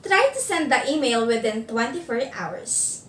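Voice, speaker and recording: A woman presenting a scripted instructional video, giving phone interview tips in a measured, clear delivery. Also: a little high, very animated, a bit bright, reverberant, recorded from a distance, slight background noise.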